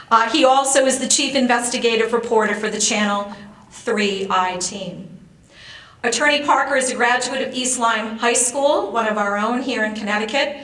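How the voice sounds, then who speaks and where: An American woman giving a formal ceremonial introduction as a speaker, measured and courteous in manner. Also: on the high side, a bit bright. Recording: roomy echo, distant microphone.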